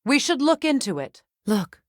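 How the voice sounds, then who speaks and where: A woman's voice: high-pitched, sing-song, normal speed, no echo, studio quality. An actress playing a stern, proud warrior goddess, a battle-hardened mother with a commanding, curt manner, in a fantasy video game. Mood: calm, observant. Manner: commanding, curt, sharp-tongued. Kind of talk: dramatic fantasy game dialogue.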